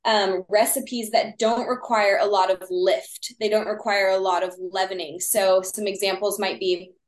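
A woman with a Texas accent who talks quickly and explains with many fillers. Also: medium pitch, fairly even intonation, echoey room. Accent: Texan. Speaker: baking guest. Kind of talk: casual podcast chat.

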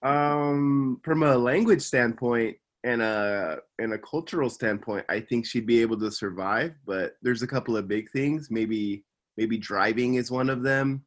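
Mężczyzna, swobodny prowadzący podcastu. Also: male voice, mid-range voice, unhurried. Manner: relaxed, hesitant with fillers. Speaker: podcast host. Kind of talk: casual interview chat. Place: Japan.

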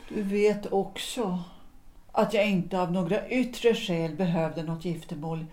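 An actress playing a weary but resolute wife in a period audio drama, speaking in a deliberate, reflective way with measured pauses. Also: female voice, on the low side, darkish tone, lightly acted. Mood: earnest, grave. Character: weary, resolute wife and former opera singer, 1900s Stockholm. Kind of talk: period stage-play audio drama acting.

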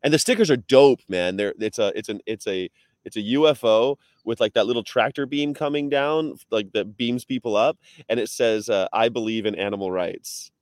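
A man who is a touring animal rights activist, talking in a relaxed, slangy, casual way over a video call. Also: lowish voice, moderate pace, dry recording, clean studio sound. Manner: laid-back slangy talk. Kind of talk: casual conversation.

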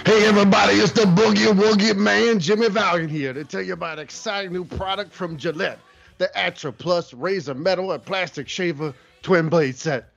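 A man's voice: high-pitched, fairly even intonation, moderate pace, gravelly, a bit bright, highs cut off, soft background music. A man playing a folksy, exuberant pro wrestler doing jive-talking sales patter in a parody radio advert. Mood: exuberant, salesy.

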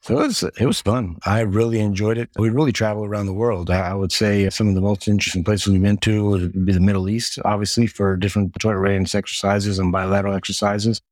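A man's voice: on the low side, steady tone, fast, hardly any pauses, a little mellow, no room sound. A middle-aged man, born in the United States with Hungarian as his first language, answering interview questions steadily and listing things with frequent word repeats. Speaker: guest, retired Air Force medic.

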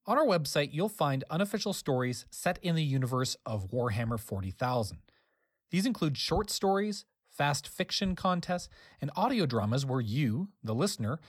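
A man's voice: mid-range voice, slightly rough, dry recording, clean studio sound. A man presenting a scripted, friendly outro with credits for an audio drama. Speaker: presenter reading the closing credits.

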